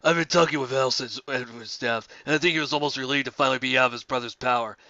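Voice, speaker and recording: A reader playing an earnest, brooding male love interest in a romance story, soft-spoken and grave, slightly lo-fi. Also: medium pitch, quick pace, dry recording, voice pushed down. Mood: grave, tender.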